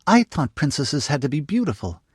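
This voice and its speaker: Male reader doing a nosy, excitable young girl, pitched up, dry close sound. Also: husky, no room sound, full character voice. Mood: eager. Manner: excited, high and quick. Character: eager nosy young girl, gleefully blunt.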